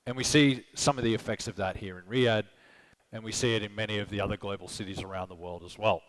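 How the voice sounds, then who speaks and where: Man, Australian accent, fairly low voice, conference keynote, chatty, checks in with the crowd. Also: steady tone, fast, rough recording. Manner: conversational, rhetorical questions, frequent "okay?" and "right?". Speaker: conference speaker. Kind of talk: live keynote presentation. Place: conference stage.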